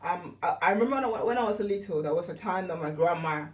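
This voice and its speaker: A woman with a Sierra Leonean accent talks in a fairly low voice, a little away from the microphone. She chats casually to her viewers like a lifestyle vlogger, often repeating words to stress a point. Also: lively intonation, fast talker, distant mic. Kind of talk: casual chatty home-remedy vlog.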